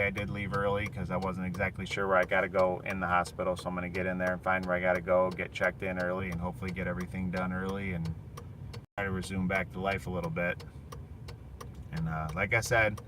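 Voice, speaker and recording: A man's voice: sits low, distant mic. A tired, worried man in his thirties talking candidly and rambling a little in a live video recorded on his phone while he drives. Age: 30s. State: tired, foggy. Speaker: vlogger. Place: inside a car on the road. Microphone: phone in a moving car. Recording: loud car noise, voice off-mic. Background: road and car noise.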